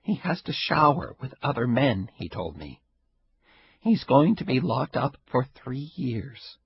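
A man voicing a frail, stubborn elderly grandmother with a sharp tongue, in a husky raised voice. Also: mid-range voice, sing-song, measured pace, dry recording, full character voice. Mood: resigned. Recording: dull lo-fi recording.

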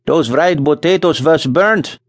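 A man doing a slow Scandinavian farmer. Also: fairly high pitch, voice pushed up. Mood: plain, solemn. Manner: slow, halting broken English.

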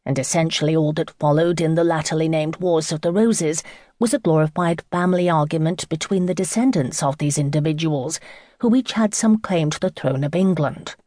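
A woman reading a scripted history audiobook in a measured, formal storytelling manner. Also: low-pitched, mellow, dry recording, studio quality. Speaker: audiobook narrator.

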